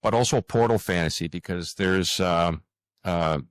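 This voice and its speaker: Deep-voiced man, halting and earnest. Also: clean tone, no room sound, studio quality. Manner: halting, searching for words, earnest. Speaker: guest author. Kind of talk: conversational interview answers.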